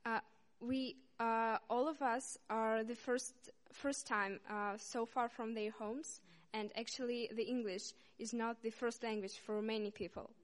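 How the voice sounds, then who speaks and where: A young woman from Saint Petersburg, Russia, a student, speaking haltingly to a church congregation with many pauses and restarts. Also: on the high side, steady tone. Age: young adult. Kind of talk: informal speech to a congregation. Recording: reverberant hall.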